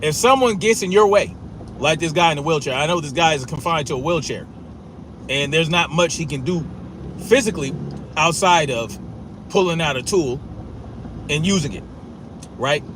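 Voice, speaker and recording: A man's voice: on the high side, normal speed, pauses often, noisy background. A man who stutters, giving a blunt, profane opinion monologue outdoors. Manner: forceful, profane, repetitive, with a stutter. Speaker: commentator.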